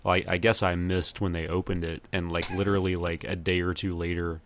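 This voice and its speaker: A man's voice: low-pitched, little pitch movement, a little fast, slight background noise. A man co-hosting a podcast, chatting casually about films in a laid-back, slightly hesitant way. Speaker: podcast co-host.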